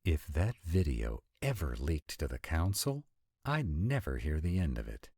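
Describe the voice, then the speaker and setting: Man playing a very deep, husky, grumpy vampire. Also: big pitch swings, unhurried, dark tone, no echo, clean studio sound, heavily acted. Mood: irritated. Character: grumpy male vampire mate, sardonic and proud. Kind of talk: scripted roleplay audio drama.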